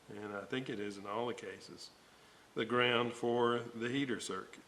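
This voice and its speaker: A man giving an informal repair walkthrough at a workbench, halting and thinking aloud as he works. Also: medium pitch, fairly bright tone, poor audio. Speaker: host of a radio restoration video series. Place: indoor workbench, hands working on components. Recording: noticeable background noise.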